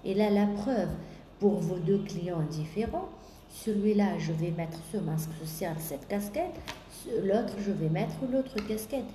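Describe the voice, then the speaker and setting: A woman business coach giving a sales coaching talk, pausing often on drawn-out fillers and listing points in repetition. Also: medium pitch, mellow. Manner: hesitant, many drawn-out "euh" fillers, repetitive lists. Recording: echoey room with faint background noise.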